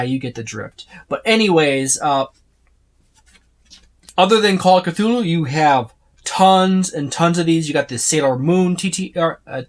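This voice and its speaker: A video host giving a casual, unscripted overview in a rambling, explanatory way with informal asides. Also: medium pitch. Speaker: host of tabletop gaming video channel.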